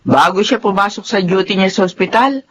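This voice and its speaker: A woman's voice: mid-range voice, brisk, slight background noise, close to an everyday voice. A woman playing an opinionated, motherly Filipina aunt in a radio drama, chatty and full of scolding exclamations. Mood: exasperated.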